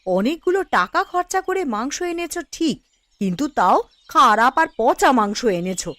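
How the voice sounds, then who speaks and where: A woman playing a scolding, fussing village wife and mother in a Bengali animated cartoon, with a nagging, exclamatory delivery. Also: high voice, moderate pace, no echo. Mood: disgusted, scolding.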